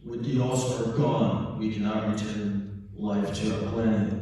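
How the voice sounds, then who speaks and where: A man puts on a noble robot leader delivering a solemn, measured monologue. The voice is low and mellow, far deeper than everyday talk. It rings from a distance through an echoing hall. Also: steady tone, close to an everyday voice. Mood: solemn, resolute. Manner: grave, measured. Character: solemn heroic robot leader narrating his people's exile. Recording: echoing hall, distant mic, faint noise.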